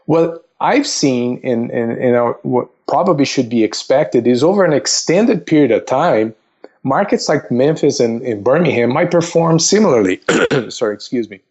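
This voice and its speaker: Dull-sounding man explaining things haltingly. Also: mid-range voice, expressive, a little mellow, husky. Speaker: investment counselor, podcast guest. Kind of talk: conversational explanation on a podcast.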